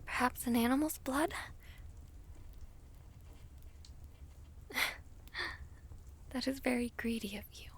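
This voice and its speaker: A woman playing a gentle, lovesick vampire princess in an intimate romantic audio roleplay, speaking formally and tenderly in a soft, breathy half-whisper. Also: fairly high pitch. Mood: weak, hesitant.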